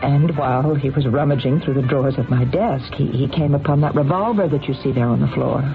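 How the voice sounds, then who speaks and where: An actress playing a scheming businesswoman who feigns innocence, halting and theatrical, in an old-time radio drama. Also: extremely low pitch. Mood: reluctant, distressed. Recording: muffled vintage radio with music behind.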